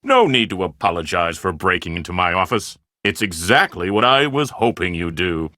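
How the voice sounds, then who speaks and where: A man voicing a powerful, shrewd Hollywood bigwig in an adventure game, formal, measured and self-assured. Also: sits low, very animated, pushed and bright, no echo, studio quality, full character voice. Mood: smug, approving.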